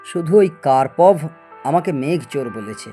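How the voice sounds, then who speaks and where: Male actor as obsessive proud scientist, audio story drama, theatrical, mellow tone, light music behind. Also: a little high, lively intonation, normal speed, natural voice. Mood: boastful, assured. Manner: theatrical, stammers when agitated. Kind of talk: Bengali audio story acting.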